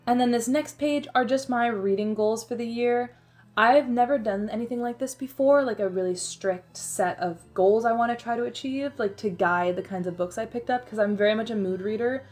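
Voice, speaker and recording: A woman's voice: on the high side, normal speed, darkish tone, soft background music. Chatty young woman narrating a vlog. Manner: chatty, self-deprecating, frequent "like" fillers. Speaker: book vlogger. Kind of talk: casual voice-over narration.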